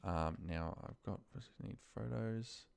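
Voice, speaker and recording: Low, creaky-voiced man talking through screen work. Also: slow pace, darkish tone, no echo. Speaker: trainer demonstrating office data work. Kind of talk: casual screen-share walkthrough, thinking aloud. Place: voice-over of a screen recording.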